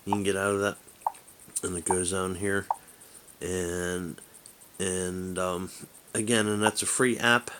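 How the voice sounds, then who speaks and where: A man's voice: sits low, flat delivery, slow pace, long pauses, bright, forceful tone, slight background noise. A man giving a casual, unscripted review as a radio hobbyist, talking in a rambling, hesitant way. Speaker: amateur radio hobbyist presenting an app review.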